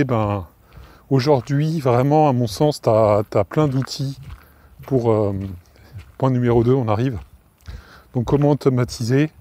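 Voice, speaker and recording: A man's voice: medium pitch, warm tone. A French-speaking man with a cold, talking casually and informally to the listener, with many fillers and restarts. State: has a cold, coughing. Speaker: podcast host.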